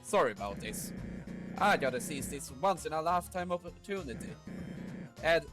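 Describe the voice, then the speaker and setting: Man doing a loud, showboating town boss. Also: fairly high pitch, animated, moderate pace, music in the background, lightly acted. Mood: commanding, eager. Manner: folksy, theatrical, grandstanding. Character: swaggering Wild West monster town boss, male, showboating.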